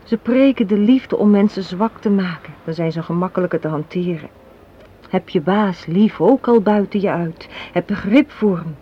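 Dull noisy radio drama recording, actress as cynical young woman, low voice, argumentative, hard-minded yet loving. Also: moderate pace, close to an everyday voice. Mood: bitter, lecturing. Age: young adult. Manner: argumentative, lecturing, rhetorical questions. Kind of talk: Dutch radio play acting.

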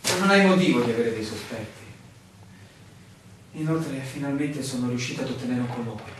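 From far off in a very echoey room, an actor plays a weary, bewildered man in a radio drama. He speaks in a theatrical, searching way, as if pleading for answers. There is clear noise around his voice. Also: mid-range voice, a little fast, close to an everyday voice. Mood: anxious, persuasive. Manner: theatrical, searching, full of questions. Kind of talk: Italian stage-style radio drama acting. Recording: very echoey, distant, clearly noisy room recording.

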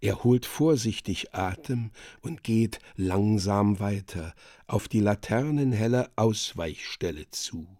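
Bedächtiger männlicher Hörspielerzähler, langsam lesend. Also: male voice, lowish voice, lively intonation, mellow, no room sound, studio quality. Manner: measured reading of stage directions. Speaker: narrator. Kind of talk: scripted literary narration in radio drama.

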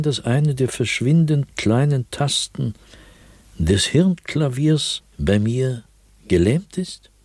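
Tormented educated man in a radio play, low husky voice, brooding diary monologue, music behind. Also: a little mellow, dry recording, heavily acted. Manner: brooding, literary, deliberate phrasing with agitated emphasis. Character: anguished educated man fearing madness, haunted by an unseen being. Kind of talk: dramatic first-person diary monologue in a radio drama. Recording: dry studio with music behind.

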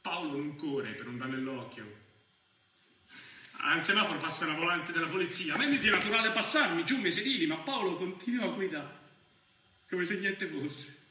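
A man's voice: on the high side, animated, moderate pace, bright, forceful tone. An actor playing a cocky, crude young Roman gunman in an intense, confessional stage monologue with a Roman accent. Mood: calm, controlled. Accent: Roman Italian. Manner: intense, crude street talk with sudden swells. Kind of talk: stage monologue, confessional first-person storytelling. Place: theatre stage, recorded from a distance. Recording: roomy distant stage recording, faint noise.

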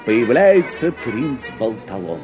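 A man's voice: medium pitch, big pitch swings, slow pace. A man reading scripted fairy-tale narration as a storyteller. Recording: violin music behind narration. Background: violin music.

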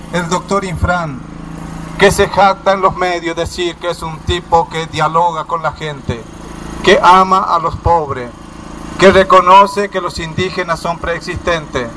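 Impassioned high-voiced man giving a protest speech. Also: bright, forceful tone, a little hiss or hum, poor audio. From Formosa province, Argentina. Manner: impassioned, rhetorical questions, raised voice.

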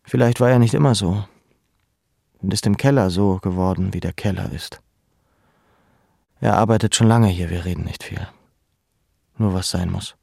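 An actor playing a young, melancholy camp prisoner in a measured, introspective radio drama narration. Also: male voice, low-pitched, vocal fry, a little mellow, breathy edge, no room sound, clean studio sound, full character voice. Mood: sober, reflective. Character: young deported male labour camp prisoner, melancholy, watchful.

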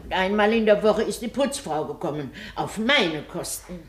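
A woman's voice: mid-range voice, animated, normal speed, crowd noise, natural voice. An actress playing a matter-of-fact, disapproving landlady, curt and dry, in a 1950s German radio play. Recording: echoey, distant old radio recording.

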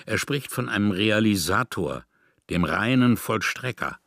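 Low-voiced man reading formally. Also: animated, measured pace, vocal fry, a bit bright, dry recording, studio quality. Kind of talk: scripted literary essay narration.

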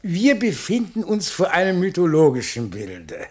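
An actor playing a pompous, long-winded scholar who lectures in florid style in a radio play, with a husky voice. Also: male voice, high-pitched, huge pitch swings, moderate pace, a little mellow, exaggerated voice. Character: pompous, long-winded male scholar showing off paintings to a prince. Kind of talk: German radio play acting.

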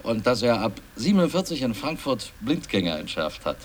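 A man's voice: medium pitch, very animated, a little fast, lightly acted. A man playing an earnest film company employee in a radio play, recounting events in a rambling, hesitant way and repeating himself. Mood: earnest, recalling. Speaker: interviewee. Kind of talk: documentary-like interview in a radio play. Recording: clearly noisy mock field tape.

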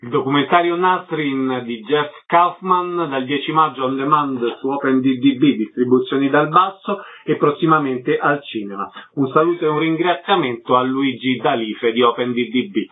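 Mid-pitched male host, narrow-band radio. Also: normal speed, few pauses. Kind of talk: radio announcement and sign-off. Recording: thin, phone-like radio sound.

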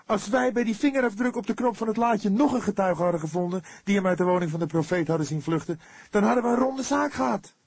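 A man voicing an impetuous, hot-headed young detective in an audiobook, excitable and indignant. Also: high voice, quick pace, creaky, breathy edge, dry recording, pitched above the performer's own voice, heavily acted. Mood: heated, indignant. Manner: loud, excitable, heated delivery.

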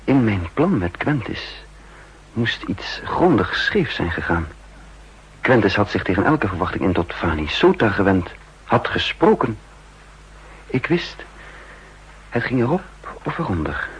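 A man narrating in the first person in a 1960s radio spy drama, measured and guarded. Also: lowish voice, big pitch swings, husky. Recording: dull lo-fi 1960s radio recording.

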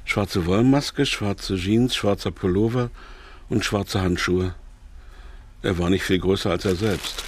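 A man's voice: sits low, takes it slowly, slightly rough, no room sound, full character voice. An actor playing an anxious, wary kidnapped businessman, narrating his thoughts in clipped fragments for a radio drama. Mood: tense, observant.

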